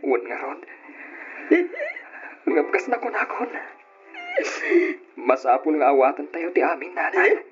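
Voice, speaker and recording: A man playing a sorrowful but steady young adult son in an old radio drama, with an Ilocano Filipino accent and an earnest, gently urging way of speaking. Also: a little high, a little slow, long pauses, background noise. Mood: sorrowful consoling. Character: sorrowful, steady grown son consoling his mother. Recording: muffled old radio drama, music behind.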